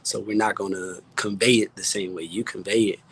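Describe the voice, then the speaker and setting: A man's voice: fairly low pitch, fairly even intonation, a little fast, fairly bright tone, breathy edge, rough recording. A man speaking as a guest in a casual panel chat, candid and emphatic, often repeating himself as he builds a point. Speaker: music producer, panel guest.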